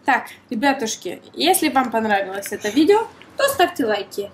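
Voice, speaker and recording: Very high, animated woman, exclamatory and playful. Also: normal speed, a little hiss or hum. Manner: exclamatory, full of oohs and gasps. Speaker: toy review host, mother playing with her child. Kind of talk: playful child-directed toy demonstration chat.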